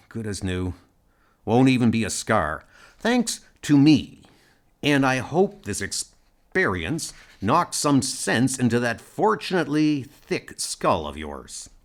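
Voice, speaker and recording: A man playing a crusty, exasperated middle-aged starship doctor in an amateur comic audio drama, grumbling with dry sarcasm. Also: medium pitch. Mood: wry scolding. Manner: sardonic, grumbling, emphatic.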